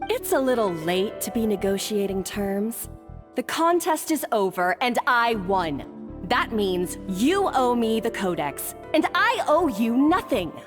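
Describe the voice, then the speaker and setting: Smug rival chef for a game: haughty, taunting, fairly high and expressive, reverberant. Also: female voice, measured pace, soft background music. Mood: defensive, haughty. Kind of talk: video game voice acting.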